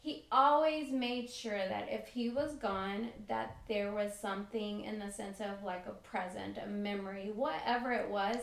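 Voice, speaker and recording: A middle-aged woman talking conversationally as an interview guest, phrasing things haltingly and emphatically, with little quoted asides. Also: medium pitch, fairly even intonation, creaky. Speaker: podcast guest, military spouse. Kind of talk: conversational interview, personal reminiscence. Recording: roomy, echoing sound.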